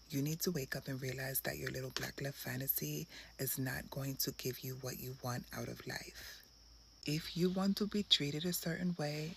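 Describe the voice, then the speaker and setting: A woman's voice: a bass voice, vocal fry, fairly bright tone, a little hiss or hum. A woman giving dating advice in an emphatic, lecturing monologue.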